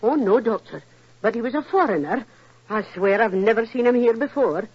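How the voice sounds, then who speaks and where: An actress playing a homely, deferential housekeeper who chatters colloquially, in 1940s radio drama style. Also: female voice, fairly high pitch, expressive, normal speed. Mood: flustered, respectful. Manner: colloquial, respectful. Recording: muffled, rough old radio recording.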